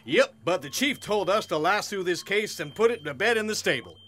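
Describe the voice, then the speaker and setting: A man's voice: sits high, animated, fairly quick, fairly bright tone. A man playing a brash, bickering lab technician who talks in folksy cowboy slang in a comedic audio drama. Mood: folksy, cocky.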